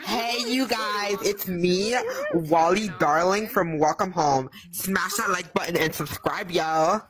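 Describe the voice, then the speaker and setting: A woman voicing a laid-back male puppet character in a casual, deadpan comic impression. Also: on the low side, takes it slowly, over loud music. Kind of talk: fan impression in short comic skit.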